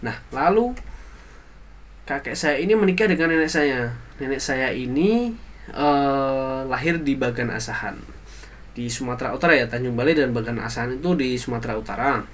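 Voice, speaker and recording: A man's voice: medium pitch, expressive, fairly bright tone. An earnest young man with a mid-range voice speaks for a class assignment. He moralizes with conviction but halts often, trailing into fillers and starting phrases over. A faint background hiss runs under the recording. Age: young adult. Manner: earnest, halting, many fillers and restarts, moralizing. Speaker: university student. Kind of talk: spoken class assignment monologue.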